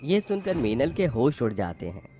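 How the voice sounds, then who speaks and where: A man's voice: mid-range voice, sing-song, moderate pace, dark tone. A man narrating a scripted moral tale as its storyteller. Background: faint music.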